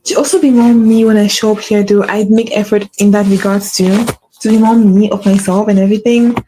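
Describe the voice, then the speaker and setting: A woman's voice: medium pitch, steady tone, brisk, warm tone, slight background noise, poor audio. A woman with a stutter hosting a faith-based motivational talk, repeating her key phrases for emphasis. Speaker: podcast host.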